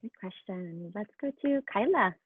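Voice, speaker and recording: A woman's voice: mid-range voice, expressive, normal speed, slightly rough, no echo. A woman teacher moderating a class video call, speaking casually and encouragingly as if to young children. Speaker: elementary school teacher moderating questions. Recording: tinny, phone-like video call audio.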